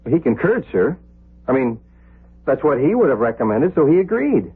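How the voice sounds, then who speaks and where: An actor playing an eager, cocky young surgical resident who turns hesitant with superiors, in a 1970s radio drama. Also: male voice, medium pitch, big pitch swings, moderate pace, breathy edge, exaggerated voice. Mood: deferential. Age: young adult. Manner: hesitant, stammering, deferential. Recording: lo-fi narrowband old radio recording.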